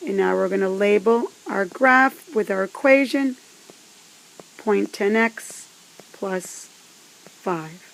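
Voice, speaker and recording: A woman teaching math step by step, speaking in a deliberate, instructive way with pauses between phrases. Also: medium pitch, gravelly, fairly bright tone, low-quality recording. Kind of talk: scripted step-by-step instructional narration.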